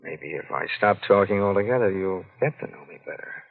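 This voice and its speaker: Wry American radio detective. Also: male voice, fairly low pitch, fairly quick, gravelly, dry recording. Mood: flirtatious, wry. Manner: wry, flippant, deadpan. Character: wisecracking hard-boiled American insurance detective. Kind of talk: 1940s radio detective acting with first-person narration. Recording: muffled 1940s radio recording, faint music.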